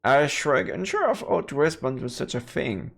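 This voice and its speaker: A man's voice: sing-song, normal speed, creaky. A man doing playful let's play commentary, reading a visual novel aloud and throwing in silly exclamations. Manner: playful, breaks into silly high-pitched exclamations. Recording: game music behind the voice.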